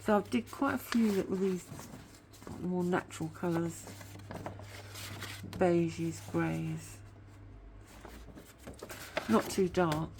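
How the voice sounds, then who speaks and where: A woman's voice: lowish voice, steady tone, takes it slowly, gravelly, pauses often, background noise. A woman chatting casually as a craft vlogger, rambling on with a slightly whiny voice because of a sore tooth. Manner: chatty, rambling, admits to waffling. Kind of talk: casual craft show-and-tell chat.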